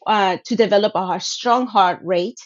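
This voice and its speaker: A woman, speaking earnestly and reflectively about her past, pausing on fillers as she searches for words. Her voice sits mid-range and comes through a dull, lo-fi video call line. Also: medium pitch. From Venezuela. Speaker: guest speaker, sport shooter. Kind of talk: casual personal storytelling. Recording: muffled lo-fi call audio.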